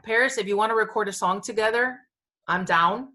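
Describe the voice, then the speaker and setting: A woman's voice: medium pitch, brisk, bright, forceful tone. A woman co-hosting a casual podcast, chatting in an emphatic way and often repeating her phrases. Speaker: podcast co-host.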